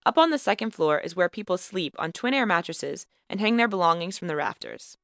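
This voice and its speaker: A woman's voice: on the low side, sing-song, a little fast, creaky, fairly bright tone, no room sound. Woman narrating a podcast in a dry voice. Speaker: podcast host and student reporter. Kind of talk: scripted narration.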